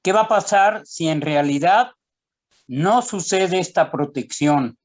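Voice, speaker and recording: Man, host, slow, deliberate, fairly high voice, poses questions. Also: lively intonation. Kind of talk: panel discussion.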